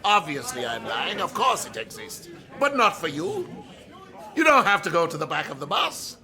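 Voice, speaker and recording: An actor playing a provocative, condescending professor speaking emphatically in a radio drama. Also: male voice, fairly high pitch, big pitch swings, moderate pace, crowd noise. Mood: defiant, scornful. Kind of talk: radio drama acting, public lecture.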